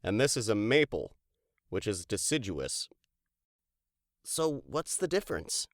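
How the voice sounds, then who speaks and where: A woman voicing a curious, practical young explorer in an expressive children's storybook read-aloud. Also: very deep voice, a little slow, dry recording, clean studio sound, voice pushed down, exaggerated voice. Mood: curious, earnest. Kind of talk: dramatized children's storybook dialogue.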